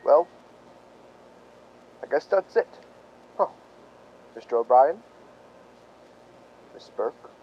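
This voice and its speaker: Radio-drama detective lieutenant, male actor: higher-pitched, animated, halting and mock-humble while slyly needling, on a dull recording. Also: slightly rough, full character voice. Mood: grimly satisfied. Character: folksy, sly police lieutenant toying with suspects. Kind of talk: 1940s radio drama acting.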